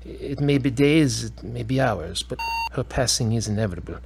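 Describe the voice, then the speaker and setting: A man's voice: medium pitch, animated, normal speed, lightly acted. A man playing an apologetic hospital doctor in an audio drama, explaining formally and hesitantly. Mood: grave, apologetic.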